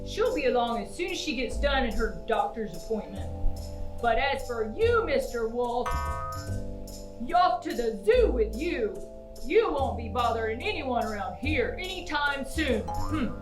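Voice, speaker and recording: A woman's voice: a little high, sing-song, measured pace. A woman voicing a proud, heroic, reassuring father in a children's puppet show. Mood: reassuring, stern. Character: hearty, heroic dad rescuing the day. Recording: roomy echo, distant mic, faint music.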